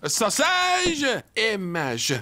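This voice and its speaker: A performer playing an excitable, jolly foreign palace chef in a cartoon, theatrical and fond of questioning tags. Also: medium pitch, drawn-out words, a little hiss or hum, heavily acted. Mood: showy. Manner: theatrical, tags phrases with "huh?".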